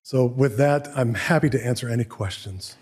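A man presenting at a public meeting as city staff, halting in delivery, his voice hoarse and giving out. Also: medium pitch, a little mellow.

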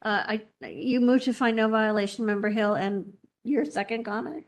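Meeting clerk, woman, somewhat high voice, brisk and procedural. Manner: brisk clarifying questions.

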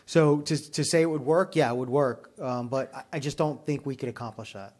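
A man speaking as a fire chief at a public meeting, answering questions in a measured, formal, explanatory way. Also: medium pitch, a little mellow.

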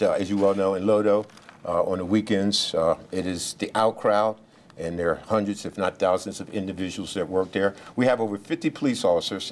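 Deep-voiced police official at a briefing. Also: male voice. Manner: halting, many fillers and restarts; speaks loudly without a mic. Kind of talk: press briefing remarks.

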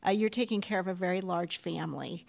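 Gravelly-voiced woman making off-the-cuff remarks. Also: on the low side, quick pace. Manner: warm, off-the-cuff, laughing. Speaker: commissioner. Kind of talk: brief remarks at a meeting. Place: commission meeting room.

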